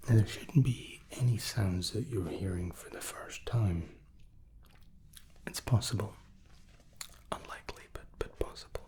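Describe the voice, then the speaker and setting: A man doing an ASMR roleplay as an examiner, speaking softly and in whispers, gently reassuring and repeating words. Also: on the low side, a little slow, long pauses. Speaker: ASMR host playing an examiner.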